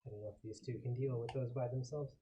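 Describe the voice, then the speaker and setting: Guy playing tabletop, medium voice, sort of thinking out loud, casual. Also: mid-range voice, fairly even intonation, fast talker, slight background noise. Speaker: tabletop game player. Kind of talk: casual game table talk.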